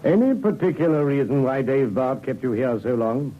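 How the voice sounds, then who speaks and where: An actor playing a brusque, businesslike police inspector who questions people curtly in a vintage radio drama. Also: male voice, mid-range voice, expressive, normal speed, natural voice. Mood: dry, probing. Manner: curt, clipped questioning. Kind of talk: 1950s radio drama acting. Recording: thin, narrow old radio recording.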